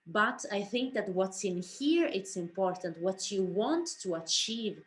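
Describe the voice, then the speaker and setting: A woman speaking earnestly and haltingly in a personal reflection for an online panel. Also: mid-range voice, lively intonation. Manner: earnest, halting, searching for words.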